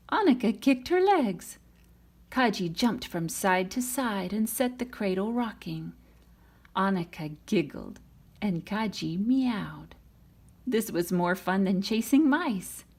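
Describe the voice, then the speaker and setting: A woman reads a children's tale aloud at a very unhurried pace. Her voice is husky and breathy, sitting a little low, and she tells it with dramatic expression. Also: a little mellow. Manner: dramatic, voices the characters' lines. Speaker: storybook reader.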